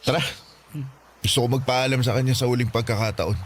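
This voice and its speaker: A man's voice: medium pitch, fairly even intonation, fast talker, slight background noise, lightly acted. An actor playing a grieving young man in a radio drama, speaking earnestly and sorrowfully. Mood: resolved. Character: grieving young man, the narrator's past self. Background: music.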